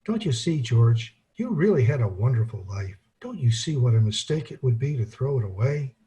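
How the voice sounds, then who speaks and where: An actor playing an earnest, apologetic, bumbling elderly guardian angel in an old-time radio play. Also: male voice, mid-range voice, big pitch swings, normal speed, warm tone, lightly acted. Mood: gently earnest.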